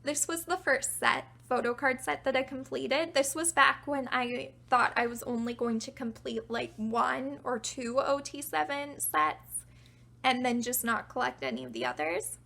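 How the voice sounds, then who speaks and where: A young woman casually talking through her collection, chatty and self-deprecating, her voice getting raspy from talking a while. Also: high-pitched, a little slow, pushed and bright. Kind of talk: casual show-and-tell narration.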